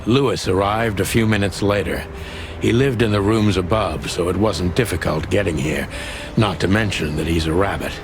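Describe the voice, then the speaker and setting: Deep, world-weary noir detective. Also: male voice, normal speed. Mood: weary, brooding. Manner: deadpan, wry, laconic. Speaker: detective and narrator. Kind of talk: scripted noir narration and game dialogue. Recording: music behind the voice. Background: music.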